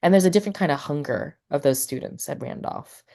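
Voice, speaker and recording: Woman, low pitch, casual interview guest, rambling, lots of fillers. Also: fairly quick, gravelly, mellow. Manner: rambling, many fillers like "like" and "you know". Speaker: poet and teacher, interview guest.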